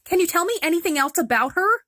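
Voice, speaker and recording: A woman's voice: expressive, fast, pushed and bright, heavily acted. A woman voicing a timid, earnest young girl who cries easily. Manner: earnest, weepy, high-pitched. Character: timid teary young girl on a rescue quest.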